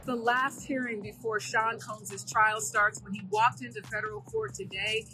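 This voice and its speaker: A woman's voice: mid-range voice, steady tone, moderate pace, bright, forceful tone, soft background music, low-quality recording. A woman reporter giving a live-style news report.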